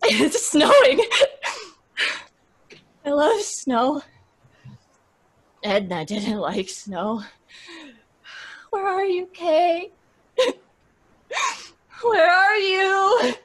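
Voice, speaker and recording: A fragile, terrified woman in an old radio horror play. Her voice is very high and the delivery slow, halting and pleading. She repeats herself as she edges toward hysteria. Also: big pitch swings, heavily acted. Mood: hysterical despair. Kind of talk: old-time radio horror drama acting.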